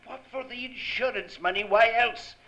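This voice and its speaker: An actor playing a menacing jealous husband and arsonist in a 1940s-style radio drama, snarling, sarcastic and contemptuous. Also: male voice, extremely high pitch, moderate pace, sharp tone, heavily acted. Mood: sneering, menacing.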